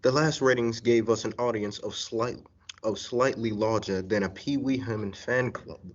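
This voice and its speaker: A young man playing a bossy, exasperated radio studio colleague, stern and sarcastic, in a high school radio play. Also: fairly even intonation, moderate pace, lightly acted. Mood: scornful. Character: gruff, bossy, exasperated male radio show boss. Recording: dull lo-fi recording.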